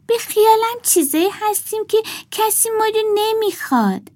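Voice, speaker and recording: Little girl doll, very high voice, naive, earnest, hopeful, storybook character. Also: sing-song, darkish tone. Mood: wistful.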